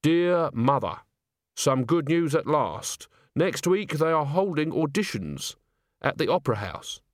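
A man's voice: on the high side, sing-song, measured pace, no echo, clean studio sound, voice pushed up. A man voicing a sensible, earnest and slightly weary young country woman in a storybook reading. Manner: careful, earnest.

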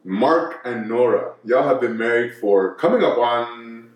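A man's voice: medium pitch, lively intonation, a little slow, lo-fi sound. A young man hosting a podcast, asking his guests questions in an earnest, encouraging way. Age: young adult. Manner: earnest, encouraging, repeats words. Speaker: podcast host. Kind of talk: casual podcast interviewing. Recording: roomy room sound.

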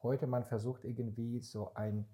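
Man from Israel, earnest co-host, mellow voice, gropes for words. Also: mid-range voice, little pitch movement, moderate pace. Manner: searching for words, non-native grammar, earnest and emphatic. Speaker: podcast co-host, investor. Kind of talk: casual podcast conversation.

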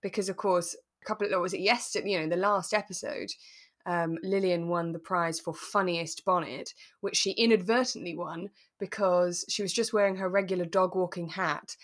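A woman co-hosting a podcast in a relaxed conversational style, chatty and reflective, often ending on tag questions. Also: on the low side, normal speed. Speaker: podcast co-host. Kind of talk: relaxed conversational drama recap.

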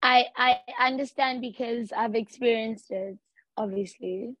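A woman from Africa in a casual conversation, speaking in a slow, thoughtful, laid-back way. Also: a little high, fairly bright tone, a little hiss or hum. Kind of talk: casual chat. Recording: dull dry lo-fi sound.

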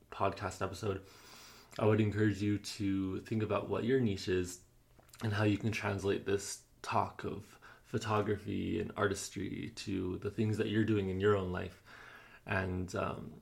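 Creaky low-voiced male podcast host. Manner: upbeat, encouraging, with many fillers and laughs. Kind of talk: casual interview podcast.

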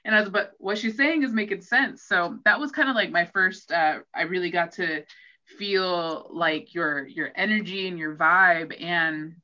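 Woman podcast host, casual chat, bright sharp tone, fairly low, dull lo-fi sound. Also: unhurried. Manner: animated, acts out her own past thoughts. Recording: dull lo-fi audio.

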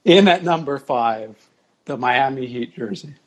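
A man chatting casually as a podcast guest, measured and explanatory. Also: mid-range voice, lively intonation. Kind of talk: casual chat.

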